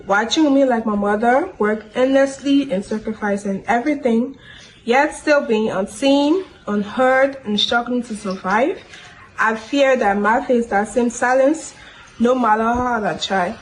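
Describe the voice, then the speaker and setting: A young woman from Liberia gives an earnest, measured personal statement. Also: on the high side, slight background noise, low-quality recording. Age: young adult. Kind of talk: short recorded personal statement.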